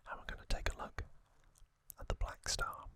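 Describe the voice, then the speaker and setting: A man hosting an ASMR video, speaking trivia and commentary in a gentle, breathy whisper with short, broken, unhurried phrases.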